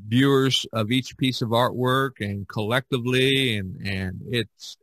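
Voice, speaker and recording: A man hosting a casual podcast discussion, thinking aloud in a halting way and repeating words. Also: medium pitch, steady tone, a bit bright, dry recording, clean studio sound. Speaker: podcast host and artist.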